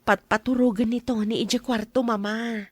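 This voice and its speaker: A woman acts out a young wife and mother in a radio drama, with an Ilocano accent. Her voice sits high and is recorded very dry. She comes across as gentle, hopeful and devoted. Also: steady tone, normal speed, no room sound, natural voice. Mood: cheerful, respectful.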